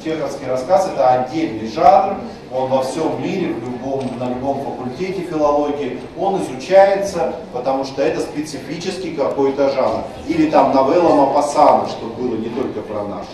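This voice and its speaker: A male lecturer giving a university lecture, pausing to repeat key terms slowly and adding conversational asides. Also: mid-range voice, warm tone. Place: lecture hall. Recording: far-off mic in an echoey lecture room with noise.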